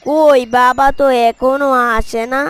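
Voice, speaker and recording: A woman's voice: sits high, fairly even intonation, a little slow. A woman voicing a dutiful young village schoolboy and older brother in a cartoon story. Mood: impatient, waiting. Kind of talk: dubbed moral story cartoon acting.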